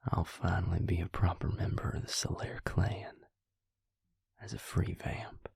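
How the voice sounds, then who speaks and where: Audio roleplay role: devious vampire recruiter, played by a man. Slow, gravelly, breathy male voice, coolly menacing, close and dry. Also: sits low, completely flat delivery, a little mellow, no room sound, exaggerated voice. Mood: smug, explaining. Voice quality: husky, breathy, gravelly half-whisper. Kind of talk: intimate whispered audio roleplay, addressed to the listener. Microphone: close, dry microphone.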